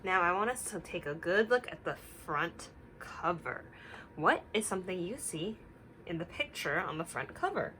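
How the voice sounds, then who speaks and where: A woman teacher reading a picture book aloud to young children, instructive and deliberate, stopping to put questions to them. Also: on the low side, animated, pushed and bright, a little hiss or hum.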